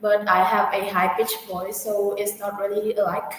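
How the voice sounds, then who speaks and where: A woman answering practice speaking-test questions in halting sentences with many fillers. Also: medium pitch, little pitch movement. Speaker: test candidate. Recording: echoey room with long reverb, faint noise.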